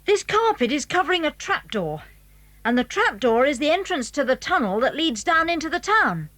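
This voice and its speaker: An eager English schoolboy in a children's audio drama. The voice is high and very lively, recorded dry, with a brisk, confident air. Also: female voice, no echo. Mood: knowing, instructive.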